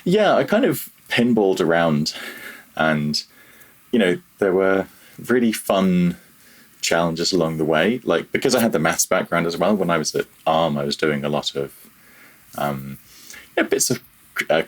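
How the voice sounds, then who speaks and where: A man talking casually as a podcast guest about his own working life, in a rambling, conversational way. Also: sits low. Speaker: podcast guest, audio programmer. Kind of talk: casual interview, telling own career story.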